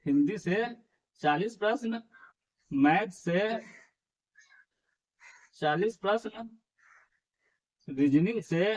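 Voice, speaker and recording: A young man with a fairly high voice explains exam scores to his viewers. He calls them friends and says each key number more than once. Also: low-quality recording. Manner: repeats key figures, addresses viewers as friends. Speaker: exam coaching YouTuber. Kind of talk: explanatory exam-prep video.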